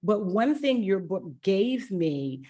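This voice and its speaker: A woman hosting a podcast interview in a conversational, emphatic and expressive way. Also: medium pitch, slow pace. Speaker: podcast host.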